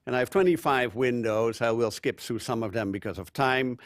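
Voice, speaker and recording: Older man, Dutch accent, conference speaker, dry close sound, unhurried with fillers. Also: mid-range voice, no room sound. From Netherlands. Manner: measured, loose phrasing with frequent fillers. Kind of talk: conference talk with slides. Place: conference room.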